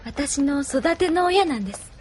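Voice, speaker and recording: A woman's voice: sits high, lively intonation, moderate pace, a little hiss or hum. An actress playing a polite, earnest young woman in an anime audio drama. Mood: wistful. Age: young adult.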